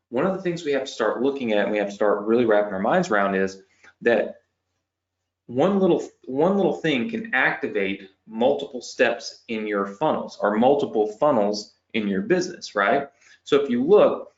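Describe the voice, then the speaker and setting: A man's voice: mid-range voice, dull audio. A man who is losing his voice, teaching an online business lesson at a whiteboard and checking in with "okay?" and "right?" after each point. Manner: tag questions after each point, explaining step by step.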